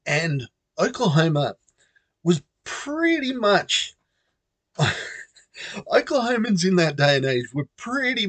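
A man's voice: mid-range voice, a bit bright, no echo. A middle-aged Australian man casually telling a personal story, chatty and self-amused. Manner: chatty, self-amused, acts out quoted voices.

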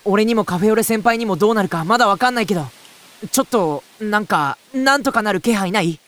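A woman voicing a cheerful, bossy teenage boy who acts as a big brother, talking in a brisk, joking, scolding way. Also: medium pitch, animated. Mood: upbeat. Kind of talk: anime-style radio drama acting.

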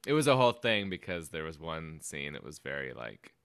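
A man's voice: extremely low pitch, sing-song, fairly quick, a bit bright, clean tone, no room sound. A man hosting a podcast interview, chatting casually with lots of fillers and restarts. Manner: rambling, many fillers and restarts. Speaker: podcast host.

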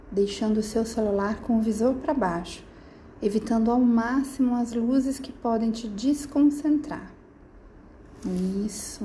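A woman guiding a sleep meditation in a slow, soft, soothing manner with gentle pauses, slipping into near-whispers. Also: fairly high pitch, slight background noise. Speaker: meditation guide.

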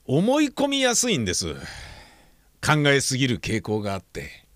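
A middle-aged father, played by a male actor in a radio drama, courteous and frank with a hesitant touch. His intonation moves widely over a medium-pitched voice. The sound is dry, without room reflections. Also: huge pitch swings, normal speed, fairly bright tone, dry recording. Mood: apologetic. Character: apologetic, candid, protective father of a grown daughter. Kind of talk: Japanese audio drama acting.

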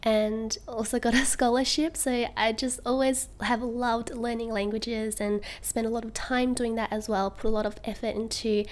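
Young female podcast guest from Australia, bubbly, laughs a lot, slightly high voice. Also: flat delivery, moderate pace, few pauses. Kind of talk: casual interview chat.

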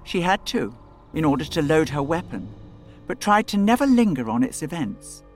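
A man's voice: on the high side, big pitch swings, moderate pace. A man reading a grave science-fiction story as a dramatic scripted narration. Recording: music bed under narration. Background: music.

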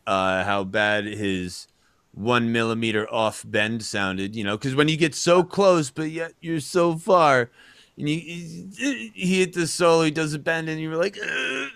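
A man's voice: fairly high pitch, a little slow, vocal fry, fairly bright tone, clear, resonant tone, dry recording. A man co-hosting a casual talk show, rambling and chatty with lots of fillers. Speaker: podcast co-host. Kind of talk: casual chat.

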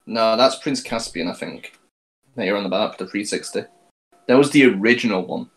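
A man's voice: on the low side, normal speed, a bit bright, poor audio. A man hosting a casual podcast, chatty and laughing, leading the topic with plenty of fillers.

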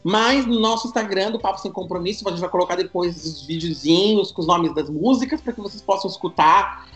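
A man's voice: sits high, a little fast, fairly bright tone, reverberant, soft background music. A man leading a podcast episode in a joking, teasing way. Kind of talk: casual podcast chat.

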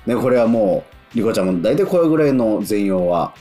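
Male radio presenter, very low register with lively swings, polite and chatty, music bed behind. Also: a little fast. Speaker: radio show host and actor. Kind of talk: radio talk show hosting.

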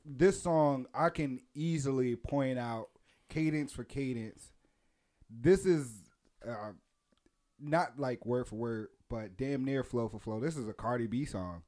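A man's voice: medium pitch, slow pace. A man in his late twenties co-hosting a casual podcast chat, explaining his views with many fillers. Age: 20s. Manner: animated, hesitant with fillers when explaining. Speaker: podcast co-host.

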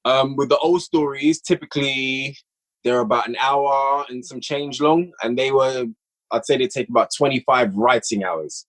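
A young man with a slightly husky voice speaks casually as a podcast guest, explaining how he writes and directs audio stories. His delivery is eager and animated, breaking now and then into quick bursts of energy. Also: medium pitch, low-quality recording. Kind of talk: casual conversation.

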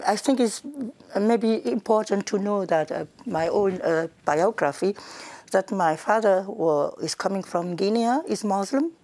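A woman's voice: medium pitch, mellow. Brief: woman politician from Senegal, non-native English, hesitant, restarts often. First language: Wolof or other languages of Senegal. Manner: hesitant, many fillers and restarts, laughs readily. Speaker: Member of the European Parliament, guest.

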